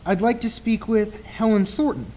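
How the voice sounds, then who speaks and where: A man playing a commanding stage mind reader in a radio play, theatrical, deliberate and insistent. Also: sits high, heavy background noise, close to an everyday voice. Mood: grave.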